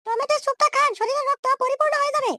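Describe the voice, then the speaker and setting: A woman voicing a caring, fussy young hospital nurse in a comedy cartoon, insistent, with a squeaky pitched-up effect. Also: steady tone, very fast, noisy background. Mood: reassuring, coaxing.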